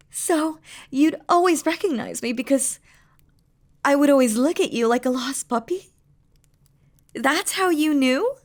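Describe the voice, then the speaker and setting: A woman's voice: sits high, slow, long pauses. A woman playing a doting, playful demon queen in an intimate romantic audio roleplay, speaking tenderly and teasingly in formal, regal phrasing. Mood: curious, teasing.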